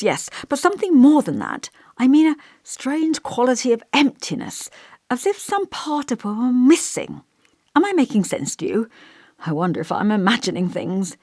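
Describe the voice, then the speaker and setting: An elderly village vicar from an audiobook, acted out by a woman, kindly and long-winded. The speech is genteel and old-fashioned, a little pompous, and musing. The pitch moves around freely, and the sound is dry. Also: very animated, normal speed, no echo. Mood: musing, gentle. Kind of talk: audiobook character dialogue.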